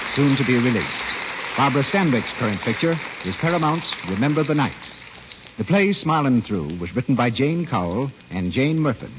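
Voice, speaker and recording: An American man announcing on 1940s radio with a polished, persuasive, emphatic delivery. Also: mid-range voice. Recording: dull, noisy old radio broadcast.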